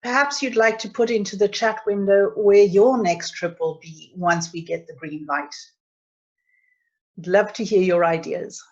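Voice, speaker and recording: A woman's voice: medium pitch, steady tone, measured pace. A middle-aged woman with a South African accent, hosting a travel webinar and reading viewers' chat messages aloud. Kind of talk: presenting a live travel webinar. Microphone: computer mic on a video call. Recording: dull lo-fi webinar audio.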